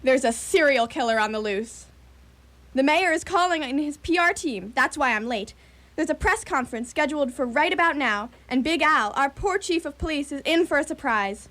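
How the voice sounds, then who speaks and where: Woman acting a high-voiced gossipy young chatterbox. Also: expressive, normal speed, a bit bright. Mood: gossipy, excited. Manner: gushing, chatty, dramatic. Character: breathless gossipy grown daughter working in PR. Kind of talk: community radio theatre acting.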